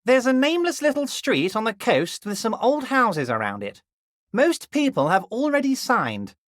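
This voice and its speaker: A man's voice: very high voice, sing-song, normal speed, fairly bright tone, no room sound, clean studio sound, full character voice. Evrart, a man playing a scheming, falsely friendly union boss. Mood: wheedling menace. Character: scheming jovial male union boss, middle-aged. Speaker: game character voice. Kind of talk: video game voice lines.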